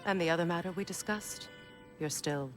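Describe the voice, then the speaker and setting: A woman playing a proud, commanding goddess in a video game, measured and authoritative with a cutting edge. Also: on the low side, soft background music, lightly acted. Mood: cool, pointed. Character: proud, commanding, sharp-tongued Norse goddess, adult woman. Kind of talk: cinematic video game acting.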